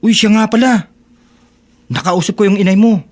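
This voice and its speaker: An actor playing a loyal young student, gentle and concerned, in a casual radio drama scene. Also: male voice, sits high, normal speed. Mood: concerned, friendly.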